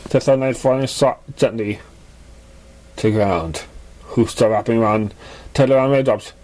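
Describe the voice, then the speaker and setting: A man reading a fan fiction story aloud in a halting, stumbling way. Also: mid-range voice, a little mellow. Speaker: story reader.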